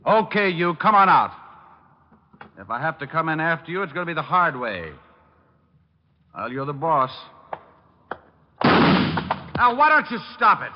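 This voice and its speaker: An actor playing a suave, wisecracking private detective in a 1950s radio drama, in a wry, unflappable manner. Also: male voice, fairly high pitch, very animated, very noisy. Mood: taunting, shouting. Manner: wry, quick-witted, unflappable. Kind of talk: 1950s radio drama acting, hard-boiled narration. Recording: muffled vintage radio recording with music.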